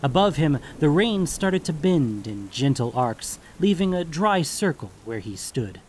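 A man's voice: a little high, big pitch swings, measured pace, darkish tone, a little hiss or hum. A man reading scripted fantasy story narration as the narrator.

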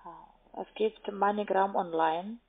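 Halting non-native woman on muffled phone line. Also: mid-range voice, steady tone. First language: not German. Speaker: call centre agent. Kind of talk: phone sales call, step-by-step instructions. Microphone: telephone.

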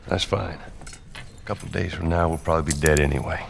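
A man's voice: low-pitched, fairly even intonation, normal speed, gravelly, a little hiss or hum. An American man playing a terse, weary middle-aged survivor in a cinematic video game. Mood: weary, flat. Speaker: game character actor.

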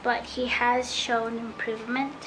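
A woman's voice: on the high side, little pitch movement, a little slow, background noise. A woman chatting casually and a little hesitantly in an informal, rambling way as she works as a dog groomer. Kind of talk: casual hands-on vlog chat while working. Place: indoor grooming room with metal tub. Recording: music playing in the background. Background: radio music.

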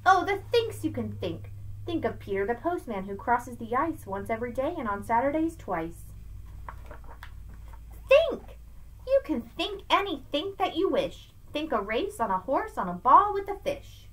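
A middle-aged woman speaks as a teacher to young children, as if in a storybook lesson at home. Her voice sits fairly high and moves in big, animated swings. She leans on key words expressively, picked up by a webcam in a small indoor room. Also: measured pace, low-quality recording. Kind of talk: read-aloud lesson for children, rhyming picture book. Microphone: webcam at arm's length.

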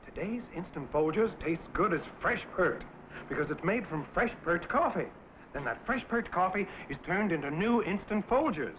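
An actor playing a kindly, patient older grocer with folksy salesmanship in a 1960s TV commercial, on a vintage soundtrack. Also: male voice, sits high, animated, moderate pace, a bit bright. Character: kindly older male grocer giving advice. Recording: dull, faintly noisy vintage film soundtrack.

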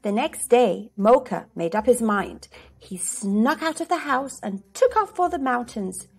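High-voiced, animated woman storyteller. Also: a little slow, creaky, slightly rough, soft background music. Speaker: storyteller, channel host. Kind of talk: children's storybook read-aloud.

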